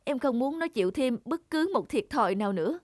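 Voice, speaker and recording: Casting: anxious, protective young mother, cartoon drama, slightly high, dry sound. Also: animated, a little fast, a little mellow, no room sound. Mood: worried. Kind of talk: dubbed cartoon drama dialogue.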